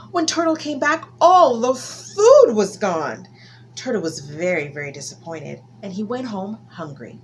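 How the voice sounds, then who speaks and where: Animated woman storyteller, very lively pitch. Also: slight background noise. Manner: dramatic emphasis with drawn-out key words. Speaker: children's storyteller. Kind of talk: expressive folktale storytelling for children.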